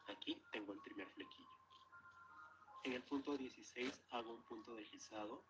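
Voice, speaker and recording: A man's voice: medium pitch, fast, over music, low-quality recording. A man narrating craft instructions step by step, as an instructor. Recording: clear background noise, room ambience.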